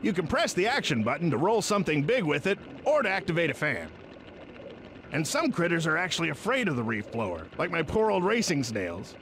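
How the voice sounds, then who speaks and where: A man voicing an excitable, folksy old bait shop owner in a cartoon video game. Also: a little high, fairly quick. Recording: clear background noise.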